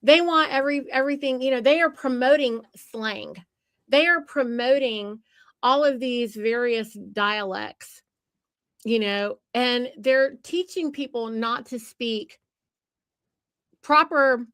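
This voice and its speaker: A woman's voice: on the high side, takes it slowly, fairly bright tone, no room sound. A woman hosting a podcast conversation, talking in an emphatic, lecturing way. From United States. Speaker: podcast host.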